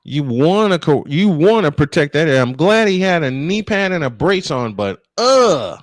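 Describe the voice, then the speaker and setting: Animated male baseball announcer, dry sound. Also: a little high, normal speed, dry recording. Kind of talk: live baseball commentary mixed with casual chat.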